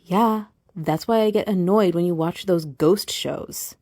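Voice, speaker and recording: Mellow, dry-voiced actress as a haunted relative. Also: female voice, on the low side, unhurried, dry recording. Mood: mildly annoyed. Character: family member uneasy about a haunted house. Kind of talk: audio play dialogue.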